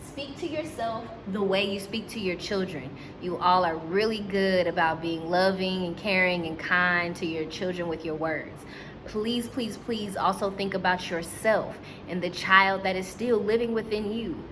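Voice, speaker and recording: A woman teacher hosting a children's storytime, speaking to young listeners and caregivers in an animated, encouraging way. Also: medium pitch, a little slow, background noise.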